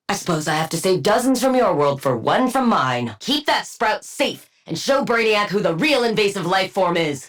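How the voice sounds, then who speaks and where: A woman's voice: fairly low pitch, fairly bright tone. A woman voicing an arrogant female scientist supervillain for a video game, with dry, clipped, condescending delivery and academic wit. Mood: dry, sardonic. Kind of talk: scripted video game character lines.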